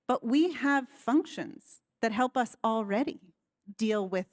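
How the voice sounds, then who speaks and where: An American woman on a conference panel speaking in a measured, articulate way, politely challenging a point. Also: fairly high pitch, lively intonation, no echo, studio quality. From United States. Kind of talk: panel discussion.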